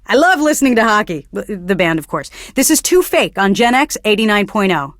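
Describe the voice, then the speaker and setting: A woman's voice: mid-range voice, very animated, fairly quick, fairly bright tone, dry recording, clean studio sound. An actress playing a bookish, pretentious young female alternative radio DJ in a video game, delivering earnest literary patter. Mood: smooth promotion.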